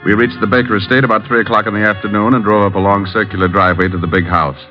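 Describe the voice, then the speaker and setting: An actor playing a cool, wisecracking private detective who also narrates, in an old radio drama. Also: male voice, fairly low pitch, flat delivery, faint music behind, natural voice. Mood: narrating. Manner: dry, teasing and unhurried. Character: wisecracking male private eye, cocky and cool. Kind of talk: 1950s radio drama acting. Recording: muffled old radio broadcast.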